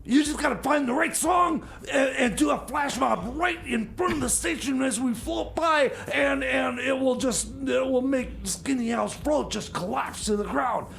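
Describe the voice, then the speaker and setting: A man playing an excitable, scheming time machine mechanic in a radio comedy, stammering and repeating his words in a flustered way. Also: extremely high pitch, normal speed, vocal fry, few pauses, slight background noise, exaggerated voice. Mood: excited scheming. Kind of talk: improvised radio comedy sketch.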